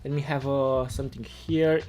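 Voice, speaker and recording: A man from Romania hosting a casual live coding tutorial, halting and repeating his words as he goes. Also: mid-range voice, flat delivery, a little mellow. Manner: halting, repeats words while reading chat. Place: indoors at a computer desk. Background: music playing, keyboard typing.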